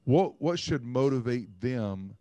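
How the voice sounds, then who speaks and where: Man, co-host, measured thoughtful questions, mid pitch, dry room. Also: expressive, mellow, no room sound. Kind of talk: casual interview chat.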